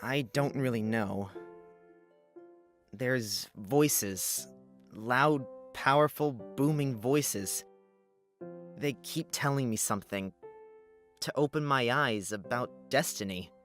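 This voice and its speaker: A woman voicing an uneasy exiled young man, the earnest and halting hero of a fantasy visual novel. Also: very deep voice, voice pushed down. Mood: doubtful. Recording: music playing behind the voice.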